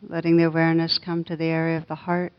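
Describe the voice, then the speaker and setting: Woman, guided meditation, gentle, flat steady intonation, unhurried pauses, dull lo-fi sound. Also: deep voice. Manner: gentle, unhurried, long pauses between soft phrases. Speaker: meditation teacher.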